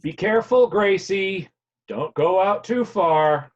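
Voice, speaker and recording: An actor playing a fond, watchful, nostalgic parent with a halting manner, in a naturalistic play reading over a video call. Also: male voice, high voice, expressive. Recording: dull, lo-fi video-call sound.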